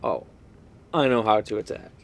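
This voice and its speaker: A man's voice: fairly low pitch, normal speed. A man giving casual, rambling, unscripted commentary while playing a video game. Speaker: gaming video host.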